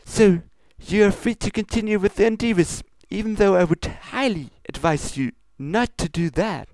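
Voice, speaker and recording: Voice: mid-range voice, moderate pace, darkish tone, no room sound, natural voice. A reader voicing a stern, regal pony princess who speaks in a pompous, formal, scolding manner for a comedic fan fiction story. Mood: stern, official.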